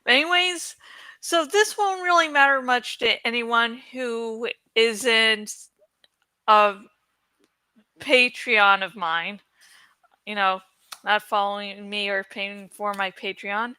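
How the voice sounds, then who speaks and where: A woman chats casually as host of a reading channel. Her voice is high and very bright, with a rambling, slow delivery and a dry sound. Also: steady tone, clear, resonant tone, no echo, low-quality recording.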